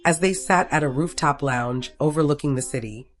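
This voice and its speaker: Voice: medium pitch, moderate pace. A narrator reading a scripted romantic story, telling it in the third person.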